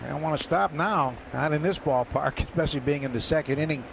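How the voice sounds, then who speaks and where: A man's voice: on the high side, fast talker, gravelly. A man giving matter-of-fact baseball analysis on the radio from a ballpark booth. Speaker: color commentator. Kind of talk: radio baseball color commentary. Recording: muffled radio broadcast with crowd noise.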